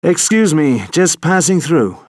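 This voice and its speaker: Suave spy, wry, unflappable, lilting intonation, dry close recording, game one-liners. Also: male voice, on the high side, measured pace, dry recording. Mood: wry, cool. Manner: dry, wry, deadpan quips. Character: suave, unflappable British secret agent.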